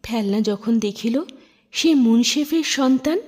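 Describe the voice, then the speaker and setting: A woman storyteller narrating literary fiction in an expressive, measured, dramatic way. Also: fairly high pitch.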